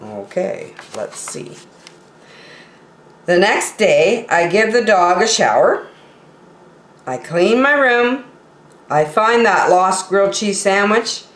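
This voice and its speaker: A woman reading a children's story aloud in an expressive, playful way, with small asides to her listeners. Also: medium pitch, slow, pauses often. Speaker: storytime reader. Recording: roomy, echoey sound.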